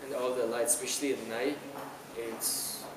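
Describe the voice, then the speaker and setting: A man's voice: mid-range voice, fairly even intonation, moderate pace. A young man from Iran answering questions in a casual outdoor interview, hesitant, with many restarts and fillers. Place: outdoors on an island, city nearby. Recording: roomy, noisy outdoor camera audio from a distance.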